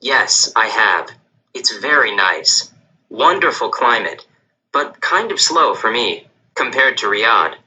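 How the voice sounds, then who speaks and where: A man's voice: medium pitch, expressive, slow, pushed and bright, exaggerated voice, low-quality recording. A man voicing a friendly, confident businessman in a scripted textbook dialogue.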